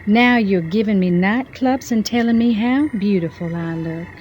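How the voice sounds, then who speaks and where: An actress as a poised, teasing mature woman in a romantic soap audio drama. She has a medium-pitched voice with faint music underneath. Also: normal speed, a little mellow, natural voice. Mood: teasing, curious.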